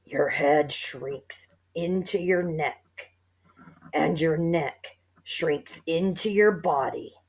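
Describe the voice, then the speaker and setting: A woman's voice: lowish voice, creaky, darkish tone. A woman doing a gloating, nasty old man. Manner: sneering, teasing.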